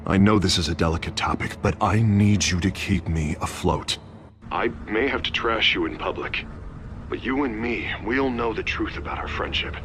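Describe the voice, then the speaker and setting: An actor playing a middle-aged political candidate who talks hesitantly and apologetically, pleading for support. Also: male voice, sits low, normal speed, fairly bright tone, slight background noise. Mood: uneasy.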